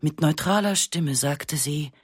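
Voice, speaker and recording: A woman reading a literary first-person story in a sober, measured, matter-of-fact way. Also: sits low, breathy edge, no echo, studio quality. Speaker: narrator.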